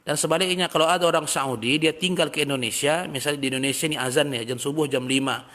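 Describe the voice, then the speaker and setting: A man teaching a religious study lesson, reading passages in Arabic and then explaining them in a relaxed, chatty way with everyday examples. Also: a little high, fast. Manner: reads Arabic text, then explains in plain talk. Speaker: Islamic teacher.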